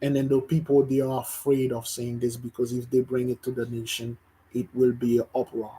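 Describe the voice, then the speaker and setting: A man's voice: mid-range voice, monotone, fairly quick, warm tone, slight background noise, rough recording. A man from Haiti, preaching informally online to his brethren, emphatic and repeating himself, often stumbling and restarting. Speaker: religious teacher.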